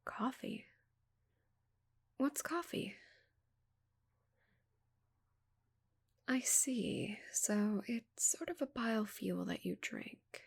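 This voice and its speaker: A woman voicing a haughty, flustered giant female alien in an ASMR roleplay, breathy and whispery, with a prim, halting delivery. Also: mid-range voice, animated, full character voice. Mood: puzzled curiosity.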